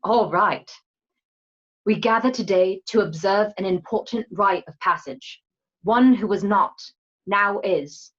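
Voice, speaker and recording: A woman acts out a dignified leader presiding over warrior rites. She declaims formally in a medium-pitched voice for a radio drama. The recording is a little dull and lo-fi. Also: slow pace. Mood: composed. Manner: ceremonial, declamatory. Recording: slightly dull, lo-fi sound.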